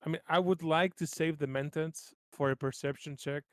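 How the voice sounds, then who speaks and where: A man's voice: fairly high pitch, steady tone, moderate pace. A man chatting casually as a player in a tabletop roleplaying game. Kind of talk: casual chat.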